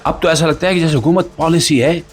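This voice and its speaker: A man's voice: fairly high pitch, steady tone, quick pace, soft background music. A man with a Pakistani accent hosting a satirical news show, speaking to the audience in a sarcastic, theatrical monologue.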